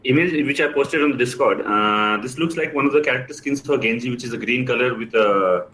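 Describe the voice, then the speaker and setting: A man's voice: mid-range voice, fairly quick, sharp tone, low-quality recording. A man chatting casually as a panelist in a group podcast discussion.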